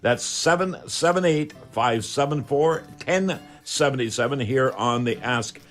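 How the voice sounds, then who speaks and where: An older Canadian man hosts a home improvement radio show in a mid-range voice. His delivery is folksy and unscripted, with halting phrases. Light music sits faintly in the recording. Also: medium pitch, animated, fairly bright tone.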